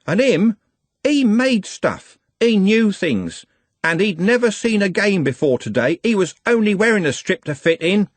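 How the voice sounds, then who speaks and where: A man voicing a shrill, furious teenage boy. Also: huge pitch swings, quick pace, few pauses, dry recording, exaggerated voice. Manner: screaming, high-pitched outbursts.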